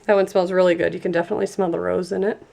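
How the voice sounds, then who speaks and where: A woman's voice: lowish voice, flat delivery, rapid-fire, creaky, warm tone. A woman reviewing and unboxing a gift set in a chatty, enthusiastic way, sounding stuffy and congested from a cold. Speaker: product reviewer.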